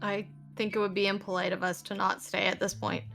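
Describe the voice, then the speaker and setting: Female game player, gravelly fry, mid pitch, casual table chat. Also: flat delivery, fairly quick, a bit bright, faint music behind, poor audio.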